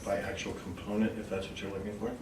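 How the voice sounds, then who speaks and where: A man with a low voice answers questions formally at a public meeting. He explains in a deferential, official way. The recording is echoey, distant and clearly noisy. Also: monotone, fast. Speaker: school district staff member presenting a proposal. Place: indoor meeting room.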